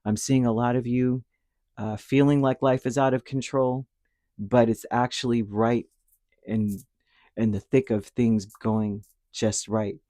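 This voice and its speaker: A man giving a casual spoken tarot reading, rambling and reassuring, his throat dry and a little hoarse after a cold. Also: mid-range voice, steady tone, measured pace, dark tone, no echo. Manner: rambling, reassuring, with many fillers and restarts. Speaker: tarot reader.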